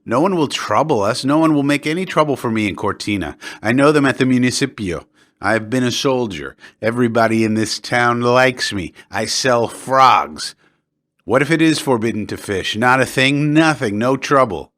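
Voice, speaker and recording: A man voicing a drunk old fisherman who talks loudly and eagerly in a rambling way. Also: medium pitch, expressive, normal speed, voice pushed up, natural voice. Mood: boastful. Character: drunk old Italian fisherman, boastful and excitable. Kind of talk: audiobook character voice.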